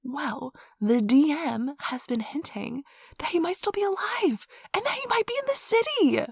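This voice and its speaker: A woman playing a nerdy, affectionate girlfriend in an ASMR roleplay, rambling softly and casually in a gushing, breathy voice. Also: high-pitched, expressive, moderate pace, no room sound, clean studio sound. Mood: gushing, excited. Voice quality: soft, breathy, slightly husky. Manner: gushing, casual, giggly, chatty. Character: nerdy affectionate girlfriend, excitedly rambling about tabletop gaming. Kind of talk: soft-spoken ASMR roleplay, intimate rambling. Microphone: close microphone, dry.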